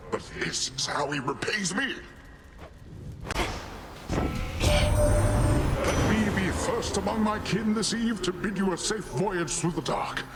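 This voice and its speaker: An actor playing a boisterous, pompous middle-aged sea god in a video game, with grandiose, theatrical delivery. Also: male voice, on the high side. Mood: blustering, affable. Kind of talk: scripted video game character acting. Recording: voice under very loud noise.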